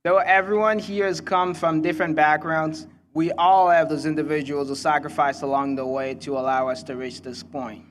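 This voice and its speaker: Young Congolese-accented man, higher-pitched, speechmaking. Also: fairly even intonation, normal speed, few pauses, fairly bright tone, a little hiss or hum. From Kinshasa, Democratic Republic of the Congo. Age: young adult. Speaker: student commencement speaker. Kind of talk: prepared graduation speech.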